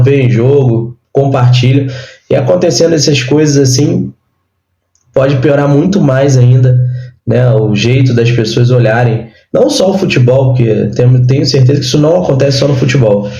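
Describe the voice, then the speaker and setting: A Brazilian man with a hoarse, sore throat, hosting a casual football commentary vlog in unhurried, chatty phrases. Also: mid-range voice, warm tone. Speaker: football channel host and radio announcer. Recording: roomy, dull lo-fi sound.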